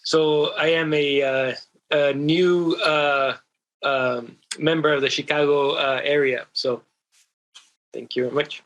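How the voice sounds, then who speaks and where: A man's voice: on the high side, steady tone, slow pace, a bit bright, highs cut off. Man, hesitant panelist. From Mexico. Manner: hesitant, frequent uhs. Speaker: panelist, researcher. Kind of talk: self-introduction on a panel.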